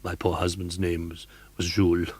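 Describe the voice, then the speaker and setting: A man's voice: low voice, completely flat delivery, normal speed, darkish tone, slightly rough, voice pushed down. A man voicing a chatty, superstitious older woman who works as a theatre boxkeeper, talking earnestly. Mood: gossipy. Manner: earnest, gossipy.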